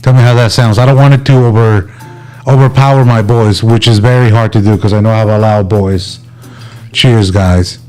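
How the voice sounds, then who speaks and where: A man's voice: fairly low pitch, steady tone, normal speed, darkish tone, smooth, soft background music, rough recording. A man hosting a livestream, chatting casually and informally with his viewers as if they were buddies. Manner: informal, laid-back, addresses viewers as buddies. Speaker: livestream host.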